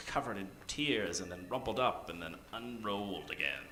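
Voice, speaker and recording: A man's voice: fairly low pitch, animated, normal speed, pushed and bright. A man narrating an improvised parody of old-time radio drama in a theatrical, melodramatic way. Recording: roomy live stage sound.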